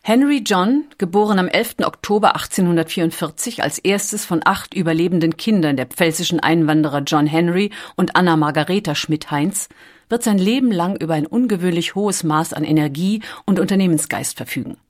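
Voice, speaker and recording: Lady reading a documentary audiobook, slightly low voice, measured and articulate. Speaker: narrator. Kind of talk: scripted documentary audiobook narration.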